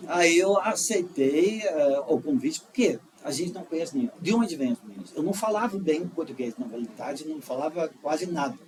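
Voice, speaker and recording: Halting Dutch-accented man telling his story. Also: fairly high pitch, animated. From Netherlands. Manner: halting, with frequent restarts and "é" fillers. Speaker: guest. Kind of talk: casual conversational storytelling. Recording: slightly distant mic with faint noise.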